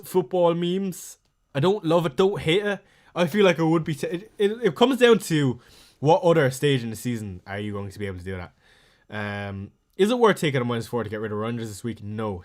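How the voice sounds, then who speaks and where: Chatty Irish man on a live stream. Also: mid-range voice. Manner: chatty, reads viewer messages aloud and answers them quickly. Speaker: fantasy football streamer. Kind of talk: casual live stream chat.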